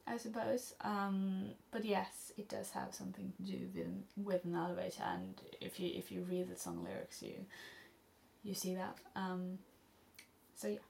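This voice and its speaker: Chatty Norwegian teen girl, gravelly. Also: mid-range voice, level tone, a little slow, darkish tone, a little hiss or hum. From Norway. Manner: rambling and chatty, many ums and restarts, laughs often. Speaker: studyblr vlogger answering questions. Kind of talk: casual Q&A vlog.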